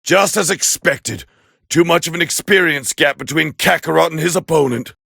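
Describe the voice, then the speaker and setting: A man playing a proud, hot-tempered alien warrior prince with a raspy voice, calling fights with scorn in an anime video game dub. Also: fairly high pitch, normal speed, no echo, studio quality, full character voice. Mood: smug, haughty. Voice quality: gravelly, raspy. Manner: haughty, scornful, biting, forceful. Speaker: fight commentator character.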